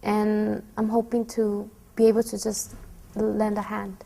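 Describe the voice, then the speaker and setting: A woman's voice: medium pitch, completely flat delivery, unhurried, vocal fry, mellow, big-room sound. A young woman from Honduras, a student, speaking earnestly and reflectively about her goals. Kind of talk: personal testimony.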